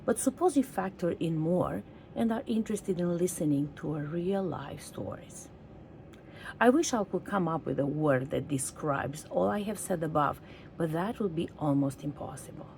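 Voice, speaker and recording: A woman's voice: sits low, animated, normal speed, creaky, darkish tone, slight background noise, low-quality recording. A woman speaking as the host and interviewer of a podcast. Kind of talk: scripted intro and friendly interview.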